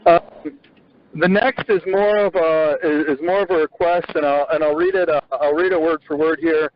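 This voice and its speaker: A man hosting a conference call, speaking haltingly with repeated words and many fillers. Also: a little high, fairly even intonation, a little hiss or hum. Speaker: host of an agricultural education conference call. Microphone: phone on a conference call. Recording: muffled conference-call audio.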